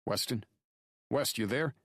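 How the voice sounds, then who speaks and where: Man voicing a firm, urgent lawman, pitched up. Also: normal speed, dry recording, studio quality. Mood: sharp, alarmed. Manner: firm, urgent, rough-talking. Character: brisk, firm lawman buddy reporting over the phone. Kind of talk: audiobook character dialogue.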